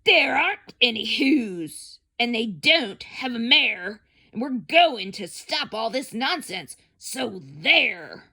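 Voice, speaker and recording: A woman's voice: a little high, sing-song, slow, hardly any pauses, bright, forceful tone, full character voice. A woman voicing a bossy, jeering male jungle monkey who declares things scornfully in a children's storybook.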